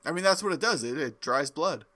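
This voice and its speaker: A man's voice: a little high, lively intonation, brisk, sharp tone. A man co-hosting a podcast with casual, joking banter. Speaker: podcast co-host.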